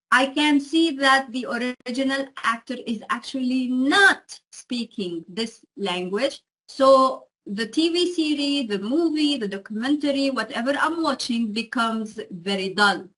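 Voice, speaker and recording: A woman's voice: a little high, a bit bright, rough recording. A woman giving an explanatory lecture to students, often checking in with her listeners. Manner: frequent check-ins with listeners.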